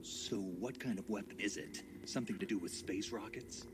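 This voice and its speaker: An actor playing a terse, inquisitive special forces soldier in a video game, with a gruff, gravelly, breathy voice. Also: male voice, on the low side, normal speed, noisy background, natural voice. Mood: probing. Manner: terse, questioning. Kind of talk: dramatic video game cutscene acting. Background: music.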